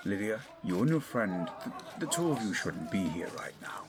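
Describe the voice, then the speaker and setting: A man's voice: lowish voice, very animated, moderate pace, vocal fry, a crowd in the background. A man playing a stern, middle-aged English lord and father in a 1920s audio drama, giving clipped, commanding orders. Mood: tense, grim. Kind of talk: scripted audio drama acting.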